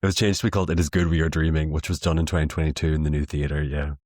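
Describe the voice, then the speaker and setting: Man, Irish accent, young adult, deep voice, animated, self-deprecating, dry room. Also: racing, no room sound. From Ireland. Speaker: playwright guest. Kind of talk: interview conversation.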